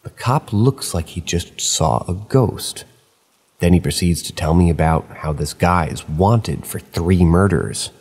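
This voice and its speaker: A man reading first-person stories aloud at a steady pace, slipping in dry asides of his own. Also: deep voice, measured pace, husky, big-room sound. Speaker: narrator of online horror stories.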